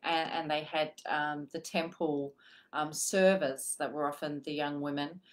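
A woman in her forties, a guest healer on a live video chat, explaining crystals and energy calmly. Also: low voice, measured pace. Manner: calm, flowing spiritual explanations. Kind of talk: live-streamed spiritual chat. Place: home room with a paper screen. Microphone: video call webcam.

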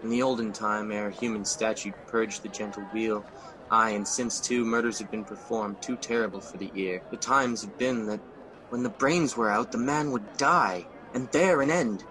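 A man's voice: fairly low pitch, normal speed, crowd noise, lightly acted, rough recording. A man playing a guilt-haunted king in a radio drama, speaking in a theatrical manner. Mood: horrified. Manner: theatrical, swings from host to horror. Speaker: actor.

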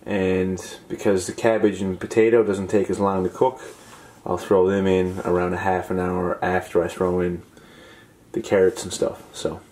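A man's voice: low voice, level tone, moderate pace, a little mellow. A young man, talking casually through his home cooking in a laid-back, folksy way. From Newfoundland and Labrador, Canada. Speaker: home cook presenting a video. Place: small home kitchen, indoors. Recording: roomy kitchen echo, clear sizzling noise.

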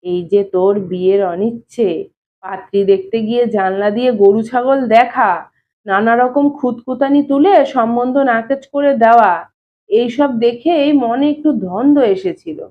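A woman's voice: fairly high pitch, a little slow, phone-quality sound, natural voice. A woman reading an audio story, voicing a shrewd older man who needles and questions his brother-in-law in a folksy way. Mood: teasing, shrewd. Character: shrewd, teasing older brother-in-law, male, gently probing.

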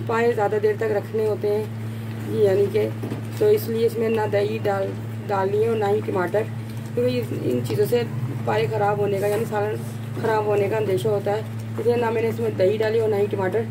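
Pakistani woman host, mid-pitched voice, home kitchen cooking demo, noisy phone recording. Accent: Pakistani Urdu. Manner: homely, explains slowly with many restarts and "yani". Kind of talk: step-by-step cooking instructions.

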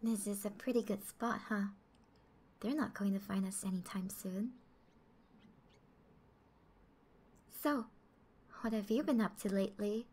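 Soft, breathy sporty schoolgirl played by a woman. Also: medium pitch. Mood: soft, casual. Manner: soft, half-whispered, casual. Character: friendly sporty schoolgirl confiding in her crush. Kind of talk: ASMR roleplay.

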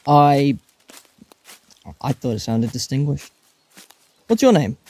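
A man's voice: mid-range voice, very animated, slow pace, a little mellow. A man playing an eccentric, persistent time traveller in a fan audio drama, flippant yet earnest and reassuring. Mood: upbeat, quirky.

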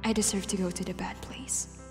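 A proud but conflicted rich woman, played by a woman in a radio drama. Her medium-pitched voice carries a long reverb, with faint music behind it. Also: flat delivery, fairly quick, creaky, lightly acted. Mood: remorseful, resigned. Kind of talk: amateur radio drama acting.

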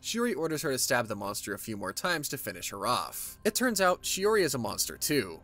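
A man's voice: mid-range voice, a bit bright. A man narrating a scripted story recap in a casual, conversational way with wry asides.

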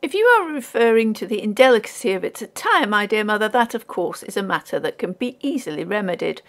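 A proud young gentleman from a storybook, as a woman acts him out, speaks with lofty, formal diction. He is easily irritated and ironic, and his pitch moves about in a lively way. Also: a little fast. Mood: composed, awkward. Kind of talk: audiobook character voice.

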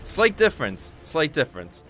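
Excitable man commentating a fighting game. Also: fairly high pitch, normal speed, faint music behind. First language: Korean. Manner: excitable, swears freely, talks to himself. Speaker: gaming channel host. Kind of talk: live gameplay commentary.

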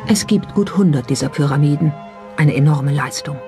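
A narrator delivers scripted documentary narration in a medium-pitched voice. The studio voice-over sits over clearly audible music. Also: normal speed.

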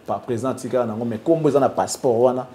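A male interviewer with a Congolese French accent puts short, low-key questions to his guest in a TV studio. His voice is mellow and soft-spoken, with a faint hiss under the recording. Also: quick pace. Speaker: interviewer, host.

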